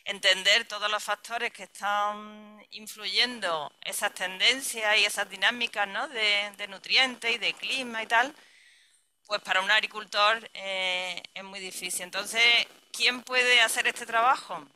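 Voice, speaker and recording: A woman's voice: mid-range voice, fairly even intonation, unhurried, bright, forceful tone, slight background noise, low-quality recording. A woman researcher giving a conference presentation, thinking aloud and rephrasing herself with restarts.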